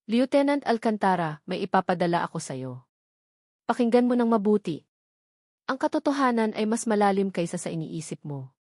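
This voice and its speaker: A mysterious caller , played by a woman narrator, speaks coldly and ominously in an audio drama. The sound is dry and the pitch is medium. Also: very animated, moderate pace, a little mellow, no echo. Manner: cold, ominous. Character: cold, mysterious anonymous caller.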